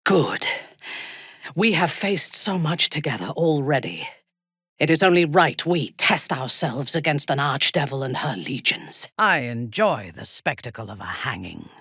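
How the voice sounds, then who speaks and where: A woman playing a haughty, ruthless dark elf warrior in a fantasy video game, speaking in a measured, imperious, formal way with a breathy, husky voice. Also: sits low, big pitch swings, no room sound, clean studio sound, heavily acted. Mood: commanding. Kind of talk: scripted video game character lines.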